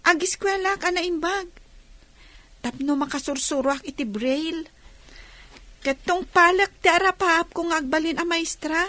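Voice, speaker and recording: Sincere young schoolgirl, audio drama; high pitch, close dry recording. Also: bright, forceful tone, no echo, heavily acted. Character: grateful, earnest young blind schoolgirl. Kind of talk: radio drama acting.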